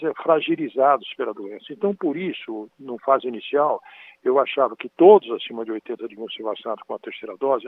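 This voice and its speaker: Brazilian male doctor, measured and explanatory, phoned-in, tinny line. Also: mid-range voice. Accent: Brazilian Portuguese. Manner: measured, explanatory, repeats "então". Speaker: doctor guest. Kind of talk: interview answers.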